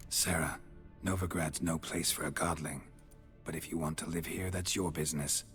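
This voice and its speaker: An actor playing a laconic, dry-witted monster hunter in a video game, gravelly and terse. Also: male voice, a bass voice, moderate pace, faint music behind. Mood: calm, firm. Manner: terse, deadpan. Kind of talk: video game character acting.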